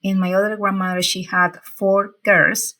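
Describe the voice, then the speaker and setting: A woman, talking as an interview guest in halting English with frequent fillers. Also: mid-range voice, level tone, sharp tone. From Peru. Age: 40s. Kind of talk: casual interview talk.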